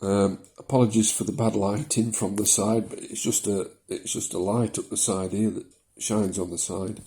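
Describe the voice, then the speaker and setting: A man in his seventies from South Yorkshire with a softened Yorkshire accent, talking casually and hesitantly into his phone in his living room. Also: on the low side, level tone, normal speed. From South Yorkshire, England. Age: 70s. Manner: rambling, hesitant, with many ums and small laughs. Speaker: vlogger. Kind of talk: casual personal vlog. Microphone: mobile phone.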